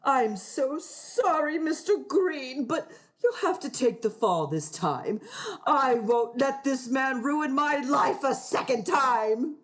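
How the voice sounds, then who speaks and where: A scorned ex-wife posing as a respectable lady in a radio melodrama. Her high voice swoops theatrically and draws words out slowly, with haughty venom. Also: animated. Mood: menacing. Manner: haughty, drawn-out theatrical delivery. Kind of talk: theatrical radio play acting.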